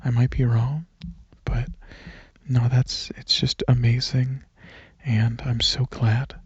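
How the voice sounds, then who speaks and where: A man speaking softly and breathily in a relaxed, hesitant ASMR ramble, partly whispering, into a microphone held close. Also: mid-range voice, slow pace, breathy edge, no echo, dull audio. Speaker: ASMR video creator. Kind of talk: casual rambling ASMR, softly spoken to listeners. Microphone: microphone held close at chest, leaning back.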